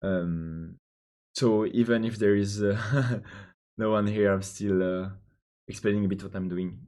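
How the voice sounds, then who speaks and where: A young man from Belgium with a French accent, thinking out loud while coding, hesitant with many pauses and restarts. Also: lowish voice, fairly even intonation. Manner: hesitant, many ums and restarts, answers chat questions. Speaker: programmer live-streaming his coding.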